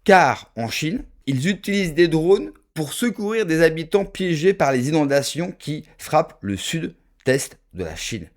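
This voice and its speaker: A man's voice: on the high side, very animated, unhurried, hardly any pauses. A man from France giving an emphatic opinion monologue to his viewers, full of rhetorical asides and direct address. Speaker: political video commentator.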